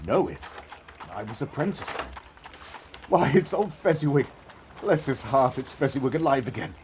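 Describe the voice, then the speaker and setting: An actor playing a regretful, miserly old man in an audio drama, with a husky voice. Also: medium pitch, very animated, moderate pace, darkish tone, a little hiss or hum, lightly acted. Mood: delighted.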